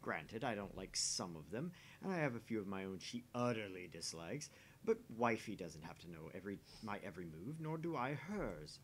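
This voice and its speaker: Smug, sneering married man acted by a male player for a visual novel; condescending, very animated intonation, faint rumble behind. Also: moderate pace, low-quality recording. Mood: mocking, smug. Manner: mocking, condescending. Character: smug, sneering, jaded married man teasing his dinner date. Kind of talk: voice-acting visual novel dialogue. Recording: faint background rumble and noise.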